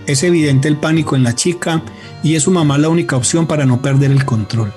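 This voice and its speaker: Un hombre narra una radionovela con guion, de manera medida, literaria y dramática. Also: male voice, fairly high pitch, darkish tone, soft background music. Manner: measured, literary, dramatic. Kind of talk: scripted radio drama narration.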